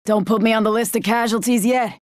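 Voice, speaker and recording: An actress playing a curt, no-nonsense army sergeant in a video game. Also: female voice, on the high side, steady tone, brisk, no echo, studio quality, lightly acted. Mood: resolute.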